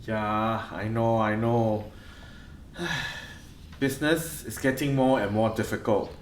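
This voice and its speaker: An actor with a Singaporean accent playing a harried, cutting nightclub boss short of money in a radio play, grumbling and sarcastic. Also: male voice, fairly low pitch, steady tone, slow, echoey room, a little hiss or hum. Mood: gloomy, grumbling.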